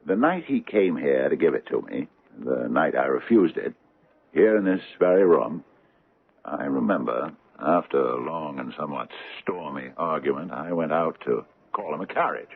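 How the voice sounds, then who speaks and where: Gravelly, very deep older father. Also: sing-song, normal speed, heavily acted. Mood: measured, grave. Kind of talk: 1970s radio drama acting. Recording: dull lo-fi old radio broadcast.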